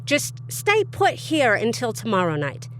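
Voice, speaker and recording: A woman's voice: a little high, wildly swooping pitch, unhurried, a little hiss or hum. A woman playing a streetwise, protective female vampire in an audio drama, talking informally, frankly and reassuringly. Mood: cautioning.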